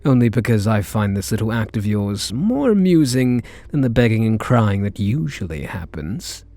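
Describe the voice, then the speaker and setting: A British man playing a reluctant kidnapper in an intimate ASMR roleplay, wry and incredulous, speaking in short halting phrases. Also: fairly low pitch, very animated, dark tone. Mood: wry, amused. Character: reluctant male kidnapper, gruff but secretly softening. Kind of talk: ASMR roleplay acting, intimate one-sided dialogue.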